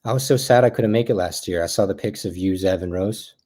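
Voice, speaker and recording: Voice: deep voice, a little fast, warm tone. An actor playing an awkward, eager young adult catching up with an old friend in naturalistic play dialogue, hesitant and rambling. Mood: wistful, rambling. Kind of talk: naturalistic play dialogue over video call.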